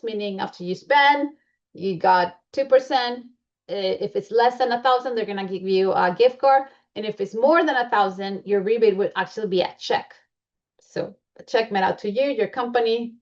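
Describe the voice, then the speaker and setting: A woman's voice: fairly high pitch, dull audio. A woman with a Colombian accent presenting membership benefits in a chatty way, with many fillers and restarts. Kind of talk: presentation walking through slides.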